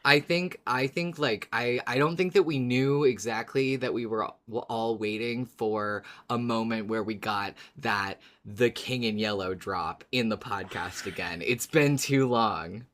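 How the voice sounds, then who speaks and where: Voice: medium pitch, a little slow, few pauses, fairly bright tone. A podcast co-host who talks about a story in an emphatic, dramatic way, as a fan of it. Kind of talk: scripted recap, then animated fan discussion.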